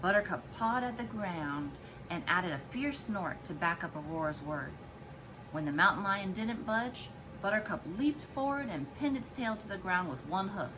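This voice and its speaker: A woman's voice: mid-range voice, normal speed, background noise. A woman, a mother, reading a children's storybook aloud expressively to her child. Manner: expressive, acts out dialogue and explains to child.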